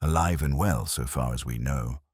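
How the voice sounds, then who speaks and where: A man's voice: very deep voice, normal speed, breathy edge, dry recording, clean studio sound, voice pushed down. A man voicing a sceptical, uneasy police inspector in an audiobook, formal, cautious and matter-of-fact. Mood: official, factual. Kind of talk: audiobook detective story dialogue.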